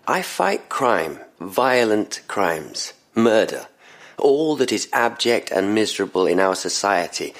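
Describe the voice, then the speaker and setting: An actor playing a distraught, sleepless police constable in an audio drama, speaking haltingly and earnestly. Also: male voice, fairly low pitch. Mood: weary, earnest.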